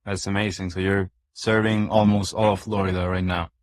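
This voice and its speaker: Deep, flat-voiced male podcast host. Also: brisk, dry recording, clean studio sound. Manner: brief encouraging responses, direct questions. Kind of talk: interviewer questions.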